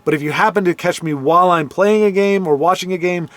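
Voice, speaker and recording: A man's voice: sits high, fast, fairly bright tone. A man giving casual play-by-play commentary on card game matches, rambling into chatty asides and correcting himself as he goes.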